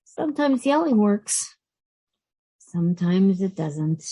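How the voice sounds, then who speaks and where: An actress playing a mature, conflicted widow in a stage play reading, plain-spoken and emotional. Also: female voice, medium pitch, big pitch swings, slow, warm tone. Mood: conflicted, bitter. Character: Meryl, mature widow, conflicted between fondness and anger.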